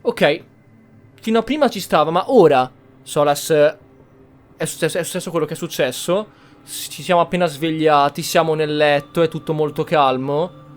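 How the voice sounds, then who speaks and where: Man commenting on a game, higher-pitched. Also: fairly quick. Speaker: gaming channel host. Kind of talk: casual let's-play commentary. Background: faint game music.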